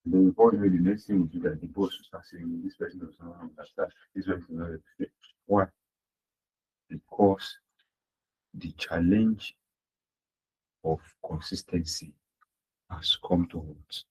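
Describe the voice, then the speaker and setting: A man's voice: deep voice, steady tone, normal speed, pauses often, darkish tone, slightly rough, low-quality recording. A man teaching accounting in an online class, repeating his points and asking the class rhetorical questions. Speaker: accounting lecturer. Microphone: online class audio.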